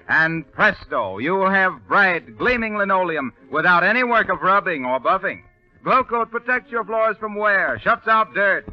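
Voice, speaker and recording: Male American announcer, vintage radio: high-pitched, polished, emphatic sell; muffled old broadcast sound. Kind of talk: 1930s radio announcing, scripted ad copy. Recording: dull 1930s radio recording with music.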